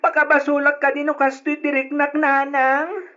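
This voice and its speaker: An earnest, effeminate teenage boy in an old radio drama. He sounds wounded and plaintive, pleading softly with his parents. The recording is muffled and lo-fi. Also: extremely high pitch, flat delivery, normal speed. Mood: hurt, plaintive. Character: hurt, earnest effeminate teenage son longing for college.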